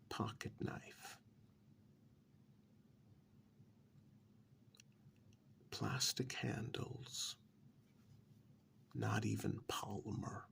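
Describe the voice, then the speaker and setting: A man acts out a sardonic, quietly menacing male captive in a dystopian ASMR drama. His low, breathy voice is heavy with gravelly fry, and he taunts with condescending, deliberate pauses, swinging widely in pitch. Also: heavily acted. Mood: cool, quietly threatening. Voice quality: breathy, near-whispered. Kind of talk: soft-spoken ASMR role-play.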